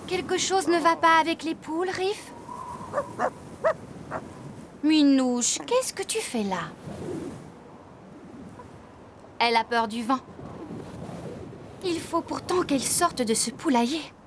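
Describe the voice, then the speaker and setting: An actress playing a brisk, caring young woman farmer in a French children's cartoon, giving animated, clear instructions. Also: high voice, noisy background. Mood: busy, concerned. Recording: music under the voice.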